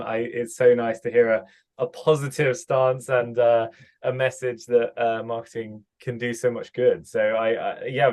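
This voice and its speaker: A man's voice: mid-range voice, darkish tone. A man hosting a podcast, speaking in a friendly, hesitant way with many restarts. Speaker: podcast host. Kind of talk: podcast hosting, casual interview.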